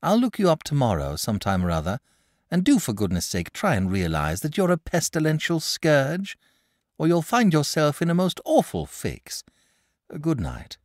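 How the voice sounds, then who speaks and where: A man's voice: on the high side, sing-song, moderate pace, no room sound, clean studio sound, pitched above the performer's own voice. A man voicing an earnest, sensible young boy who talks in a fretful, lecturing way. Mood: exasperated.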